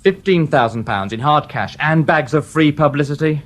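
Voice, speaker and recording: A man's voice: on the high side, moderate pace, natural voice. An actor playing a firm, sardonic male detective inspector in a radio drama.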